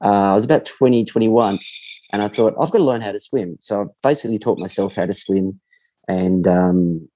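A man in his forties, a podcast guest talking about himself in a relaxed, reflective way with frequent pauses. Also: fairly low pitch, no room sound, poor audio. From Australia. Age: 40s. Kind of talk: casual interview chat.

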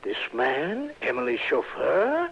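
Fussy, sentimental older man: husky, faltering, repeats himself, muffled vintage broadcast. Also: mid-range voice, huge pitch swings, fairly bright tone, full character voice. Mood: fussy, indignant. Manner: halting, flustered, repeats himself. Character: fussy, sentimental, self-important older male safe maker. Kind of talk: 1960s radio drama acting. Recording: dull old radio broadcast.

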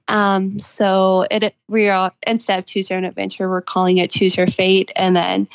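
A woman's voice: mid-range voice, completely flat delivery, normal speed, smooth, poor audio. A young woman talking as an author in a casual interview, earnest and upbeat.

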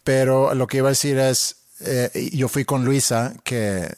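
A man's voice: medium pitch, fairly even intonation, unhurried, darkish tone, no echo, clean studio sound. A man with a slight Monterrey accent, chatting hesitantly on a podcast and often repeating words. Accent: Monterrey Spanish with a foreign touch. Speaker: podcast co-host. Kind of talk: casual podcast chat.

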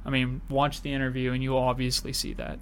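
A man's voice: medium pitch, monotone, a little fast, clean tone. A man co-hosting a podcast, chatty and friendly, asking questions and thanking the guest. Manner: friendly, chatty, laughs easily. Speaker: podcast co-host. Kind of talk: casual interview chat.

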